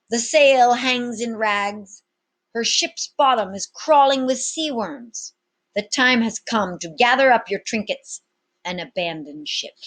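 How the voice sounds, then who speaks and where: A woman's voice: mid-range voice, fairly bright tone, pitched above the performer's own voice. Woman playing a grandiloquent, commanding pirate captain. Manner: commanding, florid, oratorical. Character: grandiloquent male pirate captain addressing his crew.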